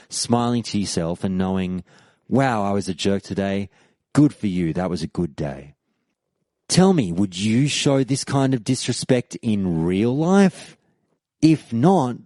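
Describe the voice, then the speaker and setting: A man's voice: medium pitch, dark tone, dry recording, studio quality. A man hosting a podcast, delivering a scripted, argumentative monologue in an emphatic, rhetorical, debate-style way. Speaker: podcast host. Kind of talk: scripted argumentative monologue, reading out forum posts.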